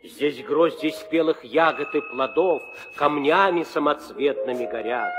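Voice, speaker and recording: Solemn epic storyteller, slow verse narration, sweeping intonation, lofty, reverberant old recording. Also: male voice, a little high. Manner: lofty, declamatory. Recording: reverberant vintage studio recording.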